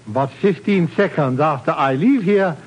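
An actor playing a polite, formal, two-faced villain with precise speech in a 1950s radio drama. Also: male voice, a little high, animated, a little slow, husky, dull audio. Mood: coolly menacing. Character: smooth, formal, duplicitous Indonesian male oil geologist villain. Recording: old radio broadcast with hiss.

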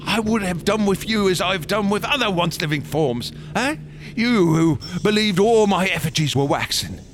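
Crazed gloating artist in horror radio play, high pitched, whispers to shrieks, noisy track. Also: male voice. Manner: theatrical, swinging from whispers to shrieks, cackling. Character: deranged, fanatical wax sculptor, gloating and raving. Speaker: actor in a radio drama. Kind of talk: horror radio drama acting.

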